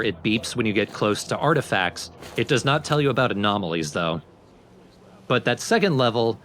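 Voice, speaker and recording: A man's voice: fairly low pitch, a bit bright, slight background noise. A man giving casual, explanatory commentary on a video game as he plays. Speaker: let's play commentator.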